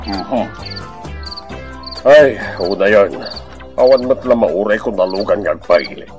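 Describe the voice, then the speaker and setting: An actor in an Ilocano radio drama playing an excitable, grumbling man who exclaims often. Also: on the low side, unhurried, music in the background, lightly acted. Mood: muttering, menacing. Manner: exclaiming, full of interjections.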